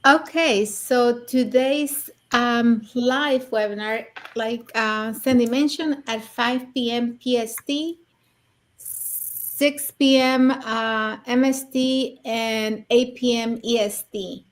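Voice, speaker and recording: Middle-aged woman, casual and a bit high. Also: steady tone, takes it slowly. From Mexico. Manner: casual and hesitant, with fillers. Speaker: co-host and mentor. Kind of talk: livestream panel chat.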